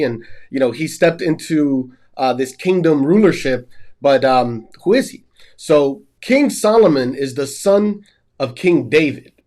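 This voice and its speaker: A man hosting a Bible study show, sounding nasal and stuffy from a cold, and earnestly retelling scripture background as a story. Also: medium pitch. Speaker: host.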